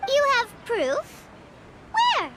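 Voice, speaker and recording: Childlike fairy game companion, piercingly high squeak, animated intonation, inquisitive, exclamatory, heavy background noise. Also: female voice, very slow, full character voice. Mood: excited, questioning. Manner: speaks of herself in the third person, exclamatory. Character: small childlike female fairy companion, earnest, excitable, inquisitive. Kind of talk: animated video game voice acting.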